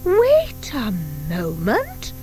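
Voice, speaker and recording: Lady doing older witch headmistress, dramatic and put-upon, storybook voice, raised pitch that swoops about. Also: huge pitch swings, very slow, warm tone, slight background noise, exaggerated voice. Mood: puzzled, probing. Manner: dramatic, declamatory. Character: older headmistress witch, weary, aggrieved, melodramatic.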